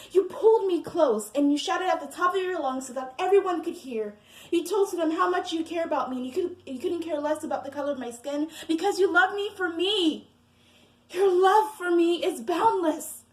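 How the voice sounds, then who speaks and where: A woman acts out a heartbroken young woman in a dramatic monologue. Her high voice is earnest and pleading with her beloved. The room adds a clear echo. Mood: impassioned pleading.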